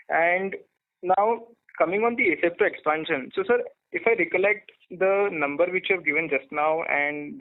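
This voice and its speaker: A man with an Indian accent, an analyst caller, politely putting formal questions on an earnings call. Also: a little high. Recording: thin conference phone line.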